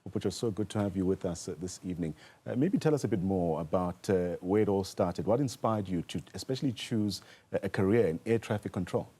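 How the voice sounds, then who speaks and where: A South African man interviewing a guest on television news, putting questions in halting, deliberate phrases. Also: sits low, dark tone, slightly rough.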